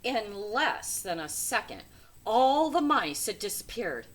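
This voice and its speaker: A woman's voice: medium pitch, big pitch swings, slow pace. A woman reading a children's storybook aloud with dramatic, emphatic phrasing. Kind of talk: expressive children's storybook narration.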